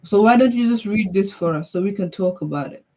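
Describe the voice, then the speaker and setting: A man's voice: high-pitched, a little fast, a crowd in the background. Insistent male caller on a dull line. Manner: firm, repeatedly urges the other to read. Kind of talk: heated call-in debate. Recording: dull lo-fi call audio.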